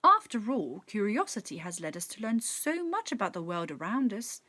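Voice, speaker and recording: A woman's voice: medium pitch, sing-song, moderate pace, bright, forceful tone. A woman playing a curious, bold young girl in a children's story, earnest and questioning. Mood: indignant.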